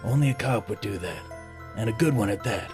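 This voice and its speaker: An actor playing a gruff, plain-spoken leader of a hobo gang in an audio drama. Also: male voice, on the low side, lively intonation, a little fast, over music, lightly acted. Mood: steady, reassuring.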